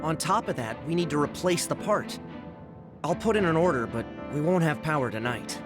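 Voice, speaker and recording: A man's voice: a little high, animated, moderate pace, lightly acted. An actor playing a practical young male tech expert in an anime game, matter-of-fact. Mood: focused, matter-of-fact. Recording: music clearly behind the voice.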